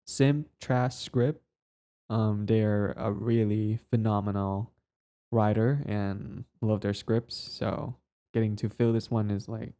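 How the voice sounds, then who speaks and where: A man's voice: on the low side, creaky, mellow. A man who hosts an ASMR channel, chatting casually to his listeners in a hesitant, rambling way. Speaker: ASMR voice performer, channel host.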